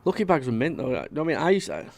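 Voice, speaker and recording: A man's voice: fairly high pitch, very animated, racing, gravelly. A man, chatting casually to viewers on a live stream and reading out their comments. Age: 30s. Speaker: livestream host.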